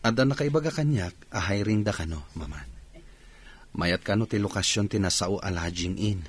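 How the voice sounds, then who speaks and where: An actor with an Ilocano accent playing a proud young jobseeker, a deferential son, in a radio drama. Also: male voice, fairly low pitch, moderate pace, slightly rough, dry recording. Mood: troubled. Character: proud young man humbly seeking work, deferential son.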